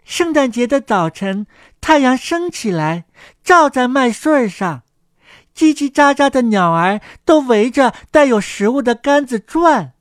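A woman co-hosting a children's story programme, narrating a fairy tale in an expressive scripted style. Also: sits high, measured pace, dry recording, clean studio sound.

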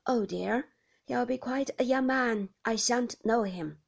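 A woman's voice: a little high, slow pace, vocal fry, exaggerated voice. Woman reading as a doting blind grandmother in her eighties. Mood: fond. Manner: fond, doddery, gently complaining.

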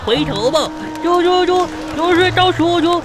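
A woman's voice: extremely high pitch, moderate pace, a crowd in the background, noisy background. A woman voicing a stubborn, boastful little boy in a comedy radio skit, chatty and childish, stammering and repeating words. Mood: cocky, insistent.

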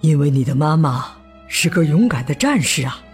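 A man playing a calm, patient father, gentle and reassuring, in a children's radio drama. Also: fairly high pitch, normal speed, soft background music. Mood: comforting.

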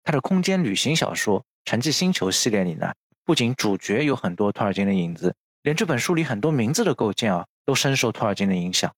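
A man's voice: on the low side, sing-song, fast, hardly any pauses, dry recording. A man hosting a podcast, narrating literary history from a script in a bookish, explanatory manner. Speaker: podcast host. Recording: music under the voice.

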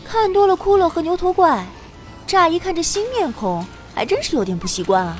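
A woman's voice: very high voice, very animated, a little fast, faint music behind. A woman playing a young heroine who narrates her adventure in a dramatic scripted first-person style. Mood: observant.

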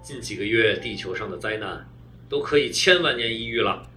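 A demanding official in a sci-fi radio drama. His mid-pitched voice is bright, tense and impatient, with music faintly behind. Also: brisk. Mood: urgent, impatient. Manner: forceful, impatient. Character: urgent, demanding man in authority on a call. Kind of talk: science fiction audio drama acting.

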